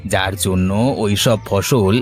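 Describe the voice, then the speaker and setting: Cartoon city trader, played by a man: lowish voice, assertive, brusque, soft music underneath. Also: level tone, slow. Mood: persuasive, businesslike. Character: brusque city businessman, crop buyer, demanding. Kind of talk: Bengali moral-story cartoon dubbing.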